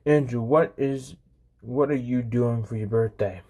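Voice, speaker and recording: Halting young man chatting on a livestream. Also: mid-range voice, dark tone. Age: 20s. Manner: halting, repetitive, often restarting phrases. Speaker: live streamer, channel host. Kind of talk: casual livestream chat with viewers.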